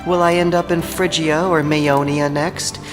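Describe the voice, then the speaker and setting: A man's voice: fairly high pitch, flat delivery, a little slow, music in the background, voice pushed up, close to an everyday voice. A man voicing a proud, bitter, beautiful woman of ancient legend, speaking with careful scorn and reproach in an epic audio drama. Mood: angry, guarded.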